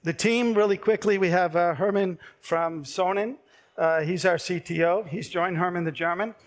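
A middle-aged man pitches his company from a big stage, speaking through a headset mic. His voice sits a little high for a man and is turning hoarse as he goes. He delivers it in a brisk, persuasive sales manner, with the odd filler. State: losing his voice. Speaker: startup pitch presenter. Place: large dark conference stage.

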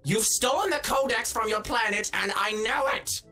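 A man's voice: very high voice, moderate pace, pushed and bright, soft background music, heavily acted. A man voicing a bossy, irritable alien captor who scolds and gets flustered, in a Minecraft roleplay. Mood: accusing, stern. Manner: scolding, overwrought, easily flustered. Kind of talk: improvised Minecraft roleplay acting.

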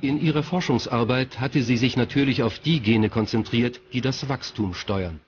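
A man's voice: mid-range voice, moderate pace, dull audio, a little hiss or hum. A man reading scripted documentary narration in the role of narrator.

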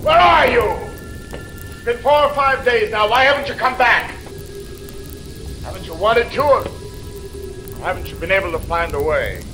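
An actor in a 1940s radio mystery playing an obsessed, menacing ghost researcher, brooding, halting and theatrical. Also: male voice, sits high, lively intonation, pushed and bright. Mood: desperate calling. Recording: vintage 1940s radio recording, music behind.

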